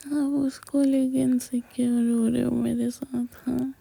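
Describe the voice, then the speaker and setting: A woman whispering an intimate girlfriend roleplay, speaking softly and directly to the listener up close to the mic. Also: on the high side, flat delivery, a little slow, creaky, husky.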